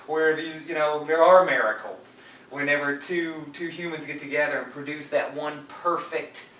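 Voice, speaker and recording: A man's voice: a little high, moderate pace, sharp tone. A man in a casual film discussion, speaking with gushing enthusiasm as a podcast co-host. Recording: roomy, distant mic, background noise.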